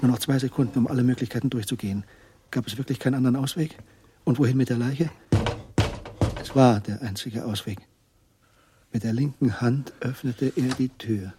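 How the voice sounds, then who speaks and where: A man's voice: mid-range voice, fairly even intonation, brisk, pauses often, noisy background, natural voice. A man performing as the third-person narrator of a German crime radio drama, with scripted, tense storytelling.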